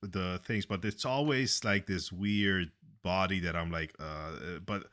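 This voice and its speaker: A man with a very low, deep voice and a bright, forceful edge, talking casually on a podcast. He speaks loudly and with strong opinions. He often hesitates with fillers and restarts his sentences. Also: measured pace. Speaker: podcast co-host. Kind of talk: casual conversation.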